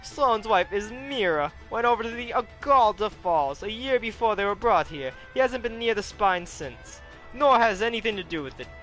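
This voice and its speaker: A man puts on a stern middle-aged farmer uncle who scolds in clipped, raised bursts. The voice sits high and bright, pitched well above his plain reading, with faint music under the storybook reading. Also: lively intonation, fairly quick, low-quality recording. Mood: grumbling. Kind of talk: audiobook character voice.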